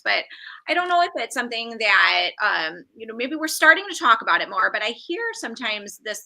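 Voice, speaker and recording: A woman's voice: fairly high pitch, creaky, bright, forceful tone, rough recording. A woman on a podcast, raising a discussion question in a casual, conversational style.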